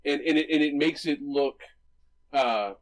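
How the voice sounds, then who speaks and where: A man's voice: a little high, measured pace. A man co-hosting a movie podcast, explaining film visuals enthusiastically in casual chat, with frequent restarts and tag questions. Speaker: podcast co-host.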